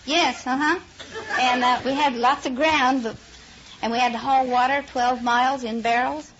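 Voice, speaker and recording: A woman's voice: high voice, fairly even intonation, moderate pace, a bit bright. An American woman chatting as a radio quiz contestant, telling stories in a plain, homespun way. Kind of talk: homespun storytelling in casual chat. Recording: dull, noisy old radio recording, audience.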